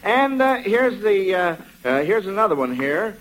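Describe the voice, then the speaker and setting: A man's voice: sits high, very animated, measured pace, crowd noise, low-quality recording. An American man hosting a 1940s radio quiz show for children in a folksy, avuncular manner. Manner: folksy, avuncular, addresses children as kids and son.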